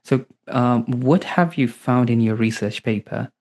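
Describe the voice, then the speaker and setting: A man hosting an interview podcast, asking questions haltingly with many fillers. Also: mid-range voice, darkish tone. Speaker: podcast host.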